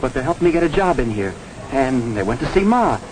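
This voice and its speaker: A man's voice: medium pitch, sing-song, a little fast, natural voice. Recovering addict, male actor: young American, uneasy, earnest street talk, swooping melodramatic delivery, noisy old film soundtrack. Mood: agitated, determined. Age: young adult. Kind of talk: 1950s melodramatic film acting.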